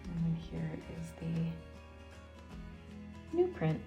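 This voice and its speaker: A woman speaks in a fairly low voice with plenty of creaky fry at the edges. She muses aloud informally, like a photographer chatting to followers in a vlog. The room around her rings noticeably, and faint music plays behind. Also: very animated, takes it slowly. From Japan. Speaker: photographer presenting a studio video. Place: indoor studio. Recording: roomy, distant mic, music behind.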